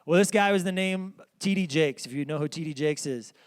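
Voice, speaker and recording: A man preaching a sermon with a small, squeaky voice, telling the story in an earnest, conversational way with lots of "like". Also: fairly quick, clear, resonant tone.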